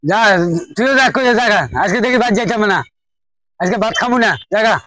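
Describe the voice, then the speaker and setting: High-voiced sulky village man, played by an actor. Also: fairly quick, bright, forceful tone, dry recording. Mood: sulky, stubborn. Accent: Bangladeshi regional. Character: sulky, stubborn village man refusing to eat.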